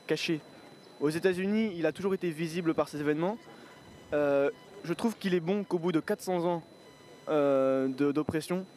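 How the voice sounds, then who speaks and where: A man's voice: a little high, a little mellow, a little hiss or hum. Young man musing in a city square. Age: 20s. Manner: thoughtful, hesitant, many fillers and restarts. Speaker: street interviewee. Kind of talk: street interview, reflective opinion. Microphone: handheld mic.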